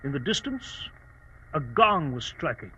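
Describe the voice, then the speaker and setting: Dr. Watson, high bright anxious English gentleman. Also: very animated, measured pace, heavily acted. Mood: narrating. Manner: fussy, exclamatory, nervous. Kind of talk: 1940s radio drama acting. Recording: dull, noisy old radio recording.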